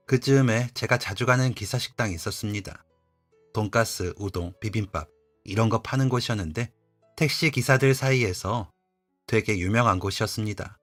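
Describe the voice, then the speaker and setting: A man calmly reading a first-person life story as a scripted narration, over faint music. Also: on the low side. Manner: calm, confiding storytelling.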